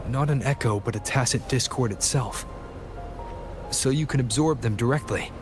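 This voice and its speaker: A man's voice: medium pitch, normal speed, natural voice. An actor playing an earnest, curious, helpful male adventurer in a video game. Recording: game dialogue under clear music.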